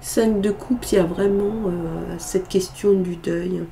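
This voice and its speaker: A woman's voice: on the low side, fairly even intonation, a little slow, creaky, warm tone, a little hiss or hum. A woman with a cold reading tarot cards in a casual, hesitant way, with lots of filler sounds. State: has a cold, congested voice. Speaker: tarot card reader.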